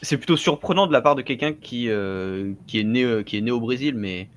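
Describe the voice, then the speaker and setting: A man hosting a casual discussion, speaking in a measured, reflective way and thinking aloud. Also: mid-range voice. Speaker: host.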